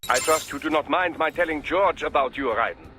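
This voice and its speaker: An actor playing a genial, fussy, erudite male scientist in a video game, speaking in a formal, wordy, over-polite and precise way with a husky voice. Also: on the high side, fairly quick, music in the background, natural voice. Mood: polite, defensive.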